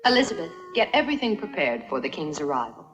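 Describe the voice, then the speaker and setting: A woman playing a poised, teasing queen in a dubbed period adventure film, speaking in a regal, composed, gently chiding way. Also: sits low, sing-song, fast talker, over music. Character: poised, teasing young queen rebuffing a suitor.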